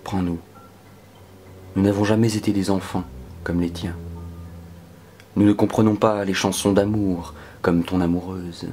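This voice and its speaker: A man performing a dramatic spoken-word poetry reading in a solemn, measured manner that can sink toward a whisper. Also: low voice, fairly even intonation, a little mellow, soft background music. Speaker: poetry reader.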